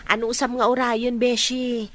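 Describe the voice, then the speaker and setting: A woman's voice: on the high side, flat delivery, normal speed, noisy background, lightly acted. A woman playing a chatty young best friend in a radio drama. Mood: curious, reassuring. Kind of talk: Ilocano radio drama acting.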